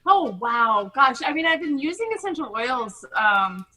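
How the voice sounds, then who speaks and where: A woman's voice: high voice, big pitch swings, unhurried, pushed and bright. A middle-aged American woman from Hawaii, chatting effusively and telling personal stories as a podcast guest. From Hawaii, United States. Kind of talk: casual personal storytelling in an interview.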